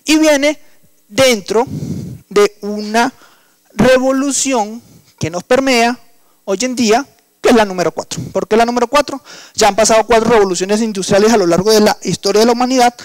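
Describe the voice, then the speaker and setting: A man's voice: high voice, moderate pace, no room sound, rough recording. A young man with a coastal Colombian accent, teaching a workshop in an explanatory lecture style with frequent fillers and restarts. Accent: Colombian Caribbean coastal Spanish. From Colombian Caribbean coast. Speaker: workshop instructor. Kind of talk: online lecture.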